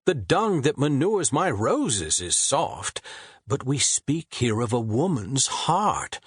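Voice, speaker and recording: A man voicing a theatrical poet in his forties, who declaims his lines slowly and loftily with a husky voice. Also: mid-range voice, very animated, dry recording, studio quality, voice pushed up, exaggerated voice. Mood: scolding, theatrical. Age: 40s. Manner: drawn-out, declaiming, slow and lofty. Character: theatrical poet, 41-year-old man, grandly wordy.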